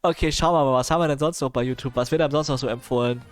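A man's voice: medium pitch, rapid-fire, soft background music. A man streaming casual reaction commentary on videos, impatient and sarcastic, with chuckling asides.

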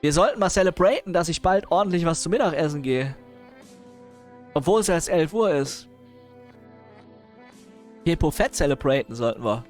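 A man's voice: fairly high pitch, lively intonation, moderate pace, pauses often, soft background music. A man streaming casual reaction commentary on videos, impatient and sarcastic, with chuckling asides.

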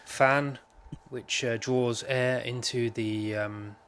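Slow, hesitant British man. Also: medium pitch, fairly even intonation, a bit bright, clear, resonant tone. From United Kingdom. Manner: hesitant, full of ums and restarts. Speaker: home lab YouTuber. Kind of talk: casual tech walkthrough.

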